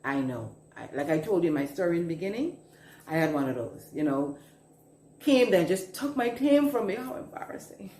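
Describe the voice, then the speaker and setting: Bahamian lady consultant, low voice, chatty, repeats herself for emphasis, bit of room hum. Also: big pitch swings, moderate pace, darkish tone, roomy sound. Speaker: business consultant. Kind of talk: casual instructional talk to camera.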